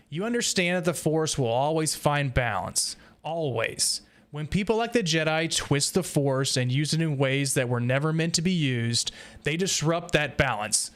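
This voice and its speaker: Guy on the higher side leading a laid-back fan podcast discussion. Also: animated, unhurried, a bit bright. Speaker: podcast host leading a roundtable.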